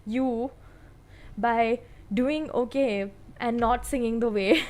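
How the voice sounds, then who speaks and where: A woman's voice: fairly high pitch, slow, a little mellow. A young woman with a slightly raised voice chats to her viewers between songs. She rambles freely, filling gaps with "like" and "you know." She sounds exasperated as she tells a story. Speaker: singing streamer. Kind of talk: casual chat to viewers.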